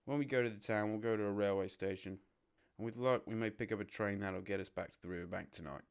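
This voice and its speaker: A man playing a practical, exasperated water rat in an audio drama, brisk and matter-of-fact. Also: lowish voice, clean tone, lightly acted. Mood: fed up. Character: practical, exasperated male water rat, bossy old friend.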